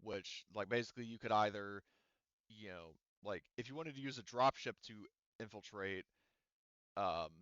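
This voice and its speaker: A man's voice: lowish voice, normal speed, a bit bright, clear, resonant tone, no room sound, highs cut off. A man running a tabletop game as game master, explaining the setting and rules while thinking aloud with lots of "um" and "like.". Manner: rambling, thinking aloud with many "um" and "like".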